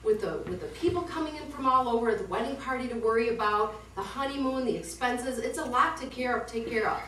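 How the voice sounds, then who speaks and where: A woman acts out a placating but defensive wife in a small stage play, in a fairly high voice. She reasons and gently scolds. The recording is echoey and distant, with clear background noise. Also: fairly quick, natural voice. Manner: reasoning, mildly chiding, defensive. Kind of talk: amateur one-act stage play acting. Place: indoor theatre studio, heard from afar.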